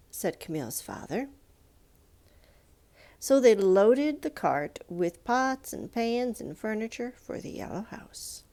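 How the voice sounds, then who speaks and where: A woman reading a children's picture book aloud in a measured, expressive storytelling manner, with pauses. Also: medium pitch. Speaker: storybook reader.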